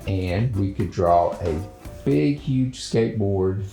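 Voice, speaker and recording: A man hosting a children's drawing show, talking in a gentle, unhurried, halting way as he thinks aloud through a casual lesson. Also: fairly low pitch, lively intonation, gravelly, roomy sound, faint music behind.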